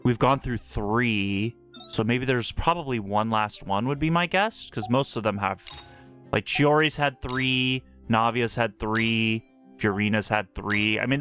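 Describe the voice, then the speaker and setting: A man livestreaming casual game commentary, excitable and repeating phrases for emphasis as he talks to his chat. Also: on the low side. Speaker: game streamer. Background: faint game music.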